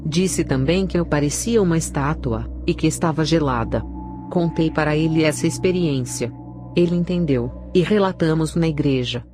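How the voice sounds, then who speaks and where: A woman giving a scripted narration of a religious testimony in a solemn, measured way. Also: deep voice. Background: background music.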